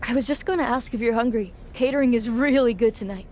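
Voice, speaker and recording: A woman's voice: fairly high pitch, fast talker, a little mellow, a little hiss or hum, lightly acted. A woman playing an offbeat, cheeky rebel wrestler in a video game, flippant and teasing. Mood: playful, needling. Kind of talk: video game cutscene dialogue.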